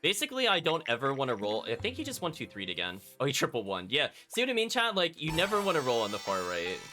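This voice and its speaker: A man's voice: medium pitch, wildly swooping pitch, fast, pushed and bright. A man giving casual live commentary as a video game streamer, thinking aloud and chatting to his viewers. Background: game music.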